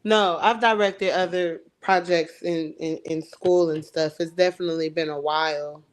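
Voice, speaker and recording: A woman who directs stage plays, talking in a podcast conversation in a measured, hesitant way with fillers. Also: lowish voice, fairly even intonation.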